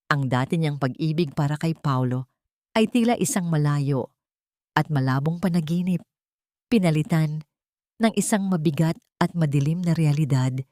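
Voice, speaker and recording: A woman audiobook narrator reading a scripted dramatic story with solemn, deliberate phrasing. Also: on the low side, dark tone, dry recording, studio quality.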